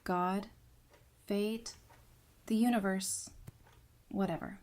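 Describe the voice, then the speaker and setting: A woman's voice: medium pitch, steady tone, slow, darkish tone. A woman acting a sincere, caring friend in a fan audio drama. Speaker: voice actor.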